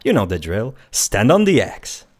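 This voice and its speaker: A man's voice: on the low side, wildly swooping pitch, unhurried, a little mellow, full character voice. A man playing a dry, sarcastic spirit guide to the dead in an audio drama. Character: wry male spirit guide to the afterlife.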